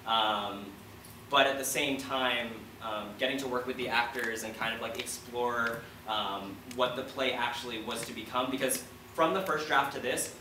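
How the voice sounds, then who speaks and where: A man's voice: medium pitch, fairly even intonation, moderate pace. Man, 20s, student playwright at a panel. Informal, hesitant delivery, picked up from far off in a roomy space. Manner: hesitant, informal phrasing with frequent "like". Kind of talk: casual panel discussion. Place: panel discussion in a room. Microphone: distant microphone. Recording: roomy, distant, faint noise.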